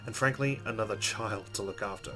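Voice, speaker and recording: A man's voice: on the low side, fairly quick, sharp tone, faint music behind. A man hosting a let's play, reading visual novel narration aloud with casual asides.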